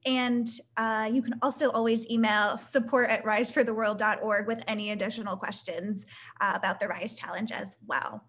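A woman's voice: a little high, steady tone, normal speed, a bit bright. Upbeat young woman hosting a webinar. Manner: upbeat, many fillers like "um" and "like". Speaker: webinar host reading audience questions. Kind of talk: casual live Q&A webinar. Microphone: laptop webcam mic on a video call.

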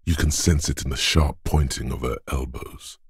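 An actor voicing a gruff, macho inner voice of physical strength for a video game, like a drill coach, blunt, taunting and commanding, with a gravelly, husky tone. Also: male voice, extremely low pitch, steady tone, normal speed, no echo, studio quality, heavily acted. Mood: gruff, steady.

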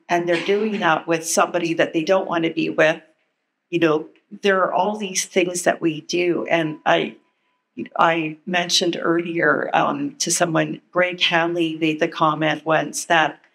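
An older woman and former educator giving an earnest, measured comment at a panel, speaking into a handheld mic in a large meeting room. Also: lowish voice, gravelly, husky, rough recording. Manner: earnest, measured, thinking aloud.

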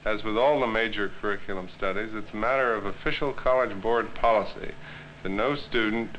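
A man's voice: lowish voice, fairly quick, a bit bright. A man giving a formal, prepared answer from notes as a meeting panelist. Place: meeting room. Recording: faintly noisy old film soundtrack.

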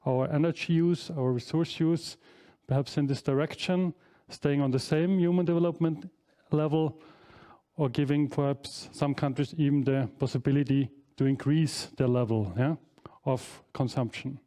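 A man's voice: fairly high pitch, warm tone. Non-native man lecturing in a hall. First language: not English. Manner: explains slides with fillers and self-corrections. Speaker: professor giving an inaugural lecture. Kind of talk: academic lecture. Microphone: headset mic.

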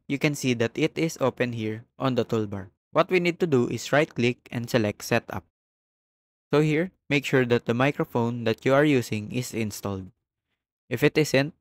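A man narrating a step-by-step software tutorial as the host. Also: mid-range voice, dry recording.

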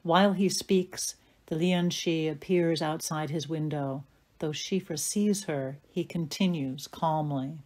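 Woman reading stage directions, warm lowish voice, matter of fact. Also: steady tone, takes it slowly. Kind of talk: scripted narration.

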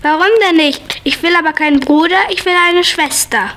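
High-pitched excited little girl. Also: a little fast. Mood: stubborn. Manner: excited, emphatic. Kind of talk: 1970s German children's radio play acting.

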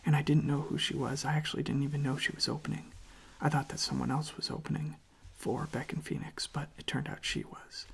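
Hushed, creaky soft-spoken ASMR voice, evenly pitched. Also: level tone. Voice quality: soft, breathy, often half-whispered. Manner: hushed, gentle, halting. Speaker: ASMR video creator, host. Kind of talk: soft-spoken ASMR, casual personal chat.

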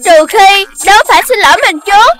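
Very high-pitched, huffy cartoon rabbit girl. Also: fast talker, fairly bright tone. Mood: sulky. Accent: southern Vietnamese. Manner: insistent, huffy. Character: stubborn, easily offended young girl rabbit. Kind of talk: children's cartoon dubbing.

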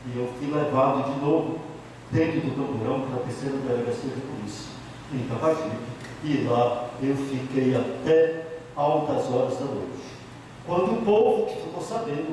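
A man's voice: fairly high pitch, background noise. A middle-aged man with a Bahian Portuguese accent, a priest telling his life story to a group in an office meeting room on a handheld mic, emphatic, repeating key phrases. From Bahia, Brazil. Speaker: priest giving a talk. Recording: echoey room from a far camera.

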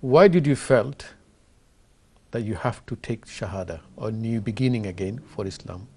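A man's voice: fairly low pitch, animated, moderate pace, a little mellow, a little hiss or hum. A man in his thirties hosting a studio interview, asking earnest, eager questions with many fillers. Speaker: host. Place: TV studio set.

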